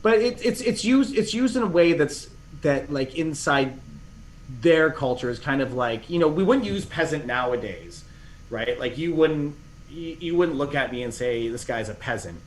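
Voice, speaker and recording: A man's voice: on the high side, expressive, moderate pace, low-quality recording. A middle-aged man, a podcast guest, talking casually and rambling through stories with many restarts and fillers. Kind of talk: casual interview chat.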